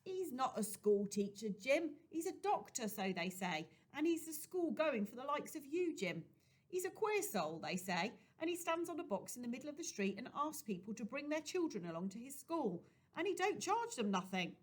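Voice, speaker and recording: A woman voicing a plump, panting young working girl who talks in a breathy, earnest rush. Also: high voice, sing-song, normal speed, pitched above the performer's own voice. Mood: earnest, urging. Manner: breathy, wheezing, chatty.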